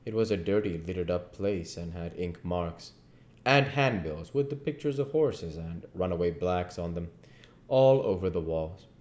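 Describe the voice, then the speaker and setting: A man's voice: low-pitched, expressive, moderate pace, a little mellow. A man in his thirties reading a novel aloud as narrator, recorded at home in an echoey living room. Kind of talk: audiobook-style story reading.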